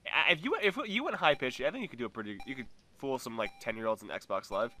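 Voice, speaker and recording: A young man co-hosting a casual video game chat, talking quickly with lots of restarts. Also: a little hiss or hum. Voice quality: deep bass voice. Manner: fast, animated, stumbling restarts. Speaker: co-host.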